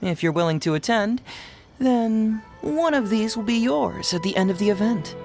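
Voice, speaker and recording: A man's voice: high-pitched, animated, moderate pace, creaky, darkish tone, faint music behind. A man voicing a sly, scheming young detective in a video game, persuasive and coaxing.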